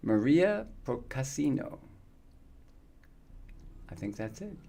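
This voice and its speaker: A man in his fifties, chatting casually and warmly with viewers on his live gaming stream, rambling with many fillers. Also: medium pitch, big pitch swings, takes it slowly, vocal fry, slight background noise. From United States. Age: 50s.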